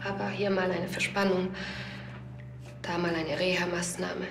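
An actress playing a quietly grieving young team physiotherapist in a TV crime comedy, subdued and earnest. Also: female voice, on the low side, flat delivery, measured pace, roomy sound, over music. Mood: subdued, insistent. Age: young adult.

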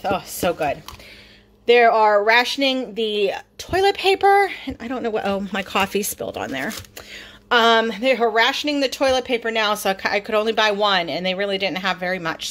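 A chatty middle-aged woman talks through her groceries in a relaxed, upbeat way, often stopping on an "um." Her voice sits at an ordinary pitch for a woman. There is a faint hiss of background noise in her home kitchen. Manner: chatty, upbeat, with many ums. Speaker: vlogger showing a grocery haul. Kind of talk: casual chat over a grocery haul.

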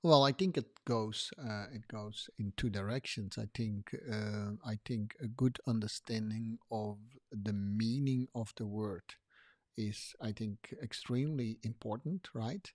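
A man's voice: on the low side, a little slow, no room sound, clean studio sound. Man, explaining. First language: Dutch. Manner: thoughtful, explains with examples. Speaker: podcast co-host. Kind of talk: casual conversation.

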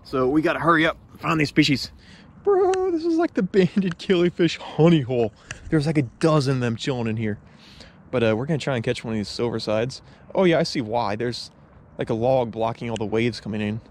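A young man vlogging outdoors in a tidal marsh at night, talking excitedly and casually about the fish he catches. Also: medium pitch, a little fast, slight background noise. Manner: enthusiastic, chatty, slangy. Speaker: micro-fishing vlogger. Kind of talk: casual outdoor vlog narration.

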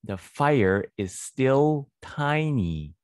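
A man in his thirties giving a read-aloud English phonics lesson for children, deliberate and repetitive, close to a desk microphone in a small room. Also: mid-range voice, big pitch swings, dry recording. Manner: deliberate, repeats words and sentences. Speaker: English reading teacher for children. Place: small indoor home studio. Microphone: close desk microphone on boom arm.

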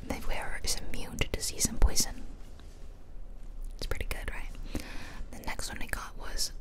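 A woman giving playful, chatty ASMR gameplay commentary in a whisper, close to the microphone. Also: moderate pace. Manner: playful, chatty, self-amused. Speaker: game streamer and ASMR host. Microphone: close ear-to-ear microphone.

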